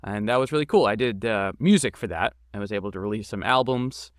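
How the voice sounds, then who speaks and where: A man's voice: on the low side, lively intonation, quick pace, clean tone, dry recording, studio quality. A man answering interview questions casually as a podcast guest, with an informal, enthusiastic and self-deprecating manner. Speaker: podcast guest, game creator and voice actor.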